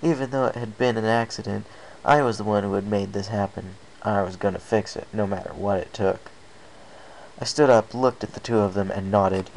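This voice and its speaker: A man's voice: fairly low pitch, creaky, darkish tone, slight background noise, rough recording. A man narrating a first-person story from a script as an amateur reader.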